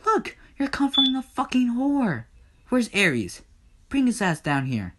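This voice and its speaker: A man plays an aggrieved god of the underworld in a comic retelling of myth. He rants in a middle-pitched male voice, full of swearing and threats. The temper is vengeful and fed up. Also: animated, moderate pace, background noise, lightly acted. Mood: bitter, fed up. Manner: ranting, profane, threatening. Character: furious, foul-mouthed, vengeful god Hades, aggrieved brother. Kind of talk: improvised comedic myth retelling.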